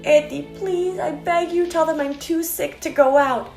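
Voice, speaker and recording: Brief: woman voicing a whiny little girl, pitched way up, roomy sound, faint music. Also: moderate pace, a little mellow. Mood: pleading. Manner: whiny, begging. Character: pleading little girl hiding from playmates.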